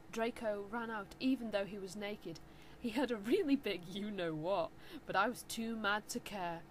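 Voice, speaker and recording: A woman's voice: medium pitch, slight background noise. A woman giving a theatrical, mock-serious dramatic reading of fan fiction as narrator. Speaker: reader.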